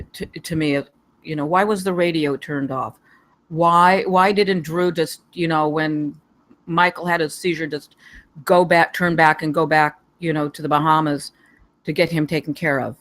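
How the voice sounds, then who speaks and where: A woman's voice: deep voice, moderate pace. A woman giving casual feedback in a hesitant, repetitive way, searching for words. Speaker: table read participant giving feedback.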